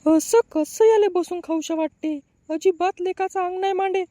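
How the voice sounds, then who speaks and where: Man putting on a shrill nagging village mother. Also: fairly even intonation, normal speed, no echo, studio quality. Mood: exasperated scolding. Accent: rural Maharashtrian. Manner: nagging, sharp-tongued.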